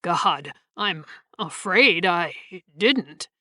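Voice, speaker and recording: A woman's voice: fairly low pitch, expressive, very slow, pushed and bright, no echo, studio quality, heavily acted. A woman voicing a persuasive male lawyer who stammers apologetically. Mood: flustered.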